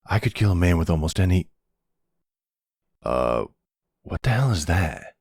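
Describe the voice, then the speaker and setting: Man playing a deep, gravelly, flirtatious mafia don. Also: big pitch swings, measured pace, a little mellow, no room sound, studio quality, exaggerated voice. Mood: teasing, flirtatious.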